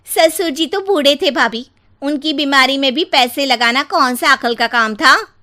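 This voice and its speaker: A scornful, money-minded sister-in-law played by a woman speaks in a raised, high voice. The manner is demanding and callous, in cartoon story style. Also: unhurried, bright, forceful tone.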